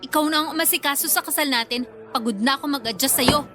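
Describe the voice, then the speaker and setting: A woman playing an outspoken, teasing, quick-tempered bride-to-be in a radio drama. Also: high voice, sharp tone, faint music behind. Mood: offended, exasperated. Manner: playful, prodding, easily offended.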